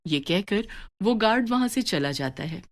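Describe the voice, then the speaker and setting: Expressive woman storyteller. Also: mid-range voice, normal speed. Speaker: narrator. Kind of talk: scripted storytelling narration.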